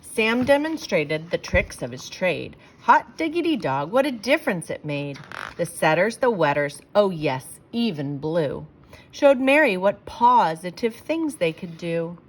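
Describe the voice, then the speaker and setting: A woman reading rhyming verse aloud for children in an expressive, theatrical storytelling way, leaning on the rhymes. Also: on the low side, a little slow, poor audio.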